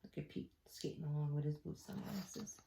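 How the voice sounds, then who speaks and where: A woman teacher reading a storybook aloud to children, expressively, with quiet asides. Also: low-pitched, normal speed, vocal fry, slight background noise. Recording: echoey room.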